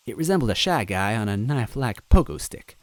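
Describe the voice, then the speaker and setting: A man reading a story aloud as narrator, expressive and adding little asides to the listener. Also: fairly low pitch, a little fast, no echo. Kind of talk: reading fan fiction aloud.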